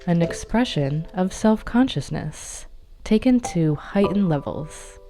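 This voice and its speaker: Low-pitched woman guiding meditation over music. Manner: calm, measured, instructional. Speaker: meditation guide. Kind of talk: guided meditation narration.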